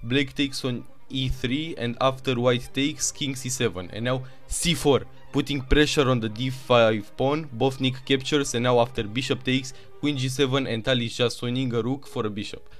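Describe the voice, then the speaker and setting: A man's voice: medium pitch, faint music behind. Romanian-accented man calling chess moves. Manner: rapid move-by-move calling with excited asides. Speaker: chess video narrator. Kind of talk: chess game commentary.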